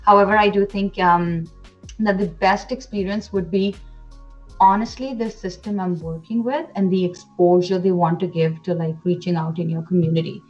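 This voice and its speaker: A woman's voice: fairly low pitch, steady tone, normal speed, faint music behind. Woman in her twenties on a muffled video call. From India. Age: 20s. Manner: chatty, enthusiastic, with fillers and restarts. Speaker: pageant titleholder guest. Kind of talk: casual interview.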